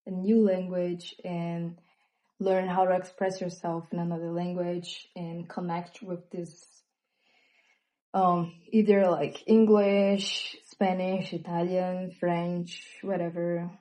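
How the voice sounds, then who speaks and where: A young woman with a Brazilian accent, native in Portuguese, talking openly and reflectively in a personal vlog, with frequent fillers and pauses. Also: on the low side, level tone, warm tone, rough recording. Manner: reflective, hesitant with many fillers. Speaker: vlogger. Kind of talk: candid personal monologue.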